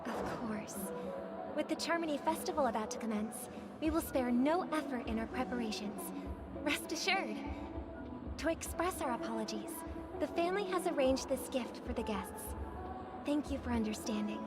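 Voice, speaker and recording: A woman voicing a poised, courteous songstress in a video game, speaking in a polite, soothing, measured way. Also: high voice, lively intonation, loud music behind the voice. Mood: diplomatic, composed.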